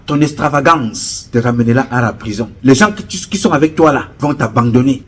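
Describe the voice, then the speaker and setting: A middle-aged man with a Cameroonian French accent delivers a fervent political speech. His husky voice builds in repeated phrases, pressing hard on key words. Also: mid-range voice. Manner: emphatic, rising to urgent appeals; repetitive oratorical cadence. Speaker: political commentator. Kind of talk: impassioned political address.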